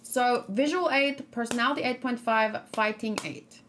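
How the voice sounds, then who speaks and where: A woman streaming live, chatting casually and thinking aloud as she reacts and answers her chat, with plenty of pauses and restarts. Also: on the high side, a bit bright, a little hiss or hum.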